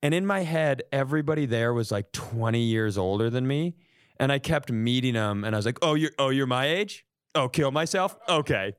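Self-deprecating man, smooth and dry-recorded. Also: mid-range voice, lively intonation, normal speed, no room sound. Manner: self-deprecating, joking, swearing. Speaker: comedy podcast host. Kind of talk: casual comic storytelling and banter.

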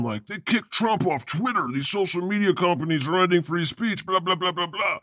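Man ranting sarcastically, slightly high voice. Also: fairly bright tone, dry recording, studio quality. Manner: sarcastic, profane, opinionated ranting. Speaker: podcast co-host. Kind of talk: casual comedic panel talk.

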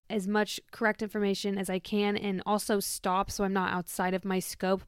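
A young adult woman hosting her podcast in a bubbly, chatty way, her voice a little lower and shaky after a busy event. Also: smooth, dry recording, clean studio sound. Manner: bubbly, chatty, with light laughs. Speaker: podcast host. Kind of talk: casual podcast monologue.

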